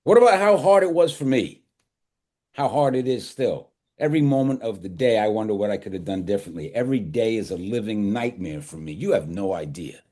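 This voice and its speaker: A man acting a devoted, grieving older dad in a script reading. His voice is low and mellow, anguished at heart yet steady and reassuring. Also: fairly quick. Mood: anguished, hurt. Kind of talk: live screenplay table read.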